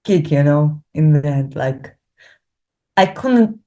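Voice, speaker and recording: A young woman speaking English as a second language, halting and searching for words with many fillers as she talks about learning Hebrew. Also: deep voice, lively intonation, mellow. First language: not English, not Hebrew. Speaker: Hebrew learner interviewed about her course. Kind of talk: casual testimonial interview.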